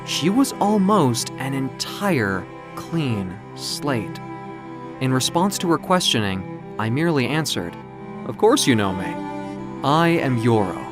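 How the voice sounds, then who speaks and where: A man's voice: mid-range voice, very animated, takes it slowly. A man voicing a proud, capricious ancient magical being in florid, theatrical storybook narration. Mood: amused, assured. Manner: florid, theatrical, self-satisfied. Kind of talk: dramatic first-person storybook narration. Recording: music behind the voice. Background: music.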